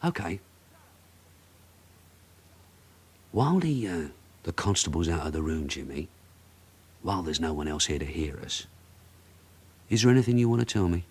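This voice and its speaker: An actor playing a shrewd, needling British detective sergeant in a radio drama, sardonic and mock-courteous. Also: male voice, sits low, big pitch swings, a little fast, pauses often, darkish tone, slightly rough, heavily acted. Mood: quiet, coaxing.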